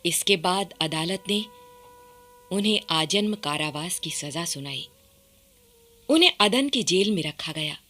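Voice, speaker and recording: A woman's voice: on the low side, expressive, a little slow, pauses often, fairly bright tone. A woman giving formal, dramatic scripted narration for a radio play.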